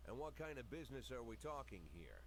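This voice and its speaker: An actor playing a weary, world-worn police chief, narrating a crime game in brooding noir style. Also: male voice, medium pitch, very animated, brisk, slight background noise. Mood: wry.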